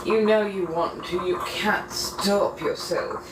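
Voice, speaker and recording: A woman's voice: medium pitch, steady tone, slow. A woman hosting a casual livestream from a bathroom as she gets ready. She talks in a flirtatious, theatrical way and calls her viewers darling. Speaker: livestream host. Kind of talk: casual livestream chat while getting ready. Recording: clear background noise.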